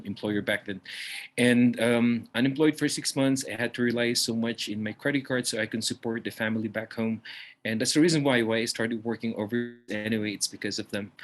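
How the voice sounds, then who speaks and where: A man's voice: on the low side, fairly bright tone. A man with a Filipino accent speaking as a business coach and guest, giving an informal motivational talk on a video call. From Philippines.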